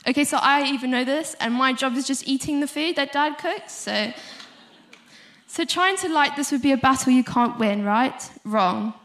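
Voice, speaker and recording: A woman's voice: high voice, moderate pace, a bit bright. A young woman with a South African accent giving a prepared sermon with informal asides. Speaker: speaker giving a short church talk.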